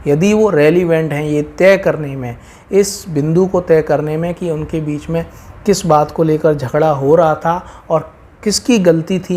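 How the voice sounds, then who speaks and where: Dark-voiced Indian legal explainer. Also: medium pitch. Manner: explanatory and repetitive, mixing in English legal terms. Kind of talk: informal legal explainer addressed to viewers.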